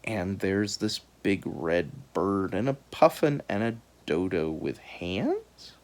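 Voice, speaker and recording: A woman reading a children's book aloud in an animated, dramatic storytelling style. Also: extremely low pitch, slow. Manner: dramatic, expressive delivery.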